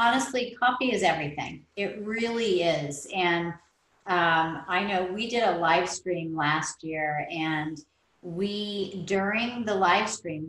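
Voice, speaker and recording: A woman's voice: lowish voice, a bit bright. Enthusiastic woman host in a roomy space. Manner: enthusiastic, affirming, tells anecdotes. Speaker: podcast host. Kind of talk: casual podcast interview.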